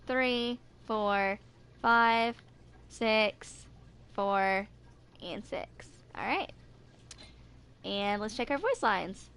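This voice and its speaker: High-voiced young woman, noisy recording. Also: fairly bright tone. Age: 20s. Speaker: gaming video maker. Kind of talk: reading game character stories aloud with casual commentary.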